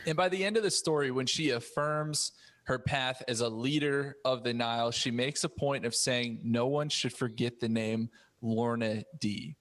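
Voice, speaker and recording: A man with a medium-pitched voice leads a panel discussion as host. He speaks in a measured, articulate manner, laying out his points and questions. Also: a bit bright. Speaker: podcast host.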